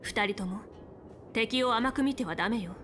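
Video game role: confident female general played by a woman, higher register, composed strategist, slight noise behind. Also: normal speed, fairly bright tone, close to an everyday voice. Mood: composed, instructive. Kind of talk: Japanese video game voice acting.

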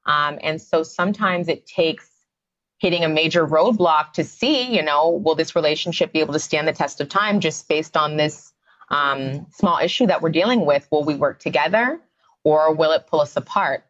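Woman, low pitch, conversational, explanatory, dull recording. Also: moderate pace. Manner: conversational, explanatory, many "um" and "you know" fillers. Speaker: psychotherapist guest. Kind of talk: podcast interview.

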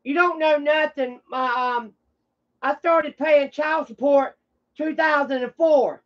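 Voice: high voice, slow, dull audio. A streamer talking off the cuff to viewers in a blunt, combative, swearing manner. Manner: blunt, combative, foul-mouthed. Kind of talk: casual rambling livestream talk to viewers.

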